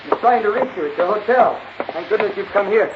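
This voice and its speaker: A man's voice: sits high, expressive, brisk. An actor playing an anxious, puzzled man of a wealthy family in a 1950s radio drama. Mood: anxious, subdued. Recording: dull 1950s radio recording, faint noise.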